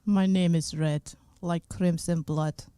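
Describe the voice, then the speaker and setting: A woman's voice: lowish voice, steady tone, takes it slowly, mellow, no echo. A woman acting a brooding, mysterious fairy-tale witness in a comic radio play, ominous and melodramatic.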